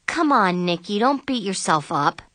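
A woman's voice: medium pitch, expressive, moderate pace, fairly bright tone, no echo, lightly acted. A woman voicing a reassuring, reasonable teenage boy in an audiobook. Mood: pleading. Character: easygoing, reassuring teenage boy defending his friend.

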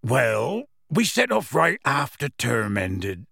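A huge, gruff half-giant gamekeeper tells his tale. The voice is husky and rough, swinging freely in pitch, with folksy, unhurried phrasing. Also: huge pitch swings, pushed and bright, dry recording, clean studio sound, heavily acted. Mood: resigned. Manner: folksy, ungrammatical, unhurried storytelling. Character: huge gruff half-giant gamekeeper, rustic, warm-hearted, plain-spoken. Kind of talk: audiobook character voice.

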